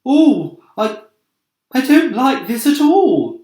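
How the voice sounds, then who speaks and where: A man voicing a nervous female ladybird in a storybook, anxious and breathy. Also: extremely high pitch, sing-song, slow pace, darkish tone, voice pushed up, heavily acted. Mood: frightened. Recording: echoey room.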